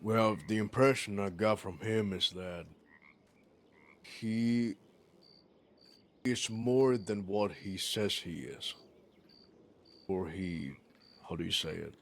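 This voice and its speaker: A man playing a thoughtful, observant Old West character in improvised roleplay, speaking in a deliberate, reflective way. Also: on the low side, fairly bright tone.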